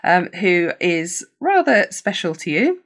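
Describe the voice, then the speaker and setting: A woman's voice: on the low side, very animated, slow, background noise. A woman in her thirties hosting a video call interview, summarising her guest's story and prompting with questions. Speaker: interviewer host.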